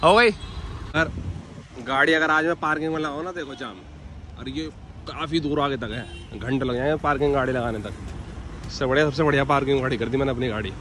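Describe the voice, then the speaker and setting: Male vlogger, jokey street chat, medium-pitched voice, clear traffic noise behind him. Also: brisk. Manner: joking, chatty, laughs often. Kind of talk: casual vlog chat. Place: outdoors on busy city streets and at an expo. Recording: loud traffic noise outdoors.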